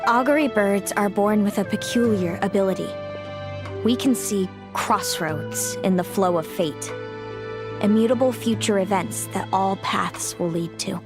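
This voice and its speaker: A woman voicing an aloof, weary augury-bird fae woman in a video game, in a measured, dry, guarded manner. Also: mid-range voice, music in the background, lightly acted. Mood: solemn, resigned.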